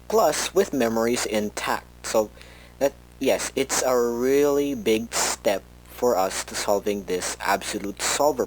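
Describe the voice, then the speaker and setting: Man, lowish voice, unhurried pace, hesitant rambling researcher, fan-made audio log monologue, faint hum behind. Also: a little mellow, poor audio. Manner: hesitant, conversational, rambling, self-interrupting. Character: rambling robot-world researcher recording an informal log tape.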